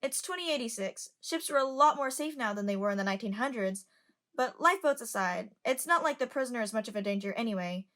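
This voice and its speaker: A woman's voice: fairly high pitch, lively intonation, fast talker, fairly bright tone. A woman in an amateur audio drama playing a curious, outspoken young passenger who chats and lectures. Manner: chatty, lecturing.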